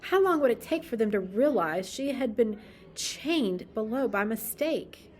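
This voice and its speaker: A woman reading a children's story aloud expressively, with deliberate, dramatic emphasis. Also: fairly high pitch, expressive, a little mellow. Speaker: storybook reader.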